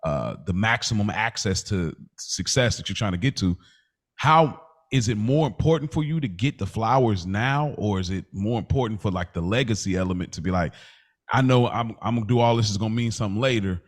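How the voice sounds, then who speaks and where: Low-voiced man hosting a casual podcast. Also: animated, a little fast. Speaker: podcast host posing questions.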